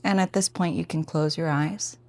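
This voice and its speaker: A woman teaching a guided meditation in a gentle, informal, encouraging way. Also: sits low, steady tone, moderate pace, gravelly, dry recording.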